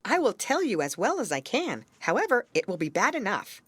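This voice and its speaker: A woman's voice: medium pitch, huge pitch swings, a little fast, fairly bright tone, husky, no room sound, voice pushed up, exaggerated voice. A woman voicing a grave, well-meaning talking raven in a storybook, earnestly explaining things. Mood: patient, explaining. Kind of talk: storybook character voice.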